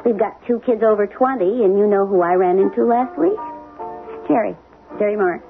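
A woman's voice: mid-range voice, a little fast, creaky, close to an everyday voice. A woman playing a chatty, wry, nagging middle-aged wife in a 1970s radio drama. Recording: dull lo-fi 1970s radio recording, faint music.

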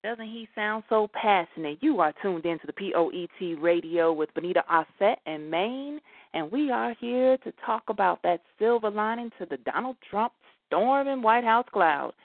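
A woman's voice: medium pitch, lively intonation, normal speed, no echo. A woman hosting a talk radio show in a conversational way, addressing her listeners directly. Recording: dry, thin phone-like line.

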